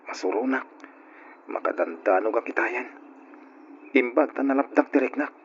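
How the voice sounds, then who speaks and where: A man's voice: medium pitch, animated, moderate pace, a little hiss or hum, natural voice. A man playing a devoted, jealous young student boyfriend in an old-style radio drama, on a dull lo-fi recording. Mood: jealous, sulky.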